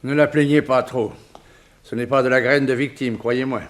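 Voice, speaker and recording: A man's voice: medium pitch, steady tone, normal speed, lightly acted. Dry, formal examining magistrate, played by a man. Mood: dry, brisk. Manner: formal, clipped, authoritative. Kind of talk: 1960s French radio drama acting.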